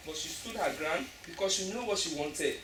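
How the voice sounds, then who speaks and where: A woman's voice: fairly low pitch, brisk. A woman from Africa speaking emphatically as a panelist after a stage play, often restarting her phrases. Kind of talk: earnest audience discussion. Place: school hall. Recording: echoey hall from far off, noisy.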